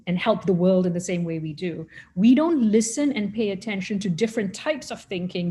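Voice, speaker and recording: A middle-aged woman from Malaysia gives reflective answers on a webinar panel. Her voice sits at a medium pitch, and she speaks earnestly, halting and repeating words before pressing her point with emphasis. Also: warm tone. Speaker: humanitarian professional, panelist. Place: remote online webinar.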